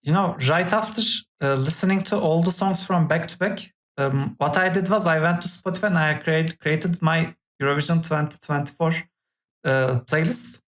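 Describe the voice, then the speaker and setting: A woman with a slightly low voice hosts a podcast discussion. She talks in a loose, casual, conversational way. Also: normal speed. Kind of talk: casual chat.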